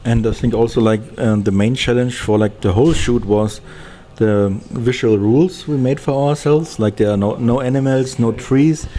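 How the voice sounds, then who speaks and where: A man speaking casually as an interviewed film director, halting and often searching for words. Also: medium pitch, fairly even intonation, dark tone, a little hiss or hum.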